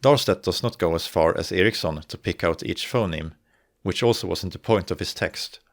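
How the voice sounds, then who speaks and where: A young man with a Swedish accent narrates an educational explainer in a low, even voice. He speaks in a measured, deliberate way and takes care to articulate each point. The recording is close and dry, with no room sound. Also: no echo. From northern Sweden. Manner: measured, careful, slows to articulate example words. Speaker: video essayist on dialects.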